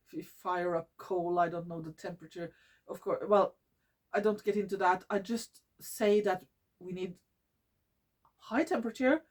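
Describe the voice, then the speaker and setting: A woman's voice: mid-range voice, a little mellow. Norwegian woman vlogging, hesitant, many fillers. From Norway. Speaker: environmental vlogger. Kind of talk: casual talk to camera. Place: living room at home.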